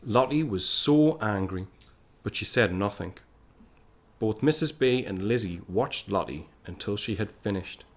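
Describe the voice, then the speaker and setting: A man's voice: lowish voice, expressive, a little slow. An actor playing a wistful young man home from the war, narrating an audio play as a storyteller. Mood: fond. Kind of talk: scripted first-person narration in an audio play.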